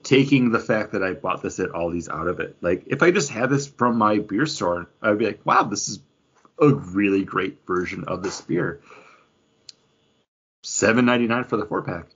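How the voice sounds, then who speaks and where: A man's voice: on the low side, animated, moderate pace, fairly bright tone, breathy edge, highs cut off. A man hosting a podcast, giving casual, enthusiastic reviews in an informal, emphatic way. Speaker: podcast host reviewing beer and comics.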